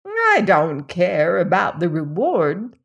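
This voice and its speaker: A woman voicing a greedy, grumbling old woman with a stick who is quick to anger. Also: fairly low pitch, wildly swooping pitch, gravelly, highs cut off, full character voice. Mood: sly. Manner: testy, quick to rage.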